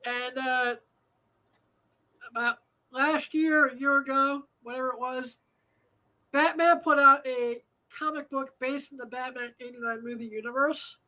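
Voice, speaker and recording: A man's voice: very high-pitched, fairly even intonation, measured pace, pauses often, bright, forceful tone, low-quality recording. A man hosting a live show from home, talking in a rambling, profane way with many fillers, a slightly nasal voice and a dry throat. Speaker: host. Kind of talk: casual live show monologue.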